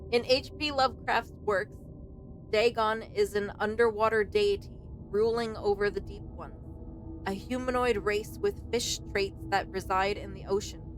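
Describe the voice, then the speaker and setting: A woman's voice: mid-range voice, rough recording. A woman streamer doing let's play commentary and reading game text aloud.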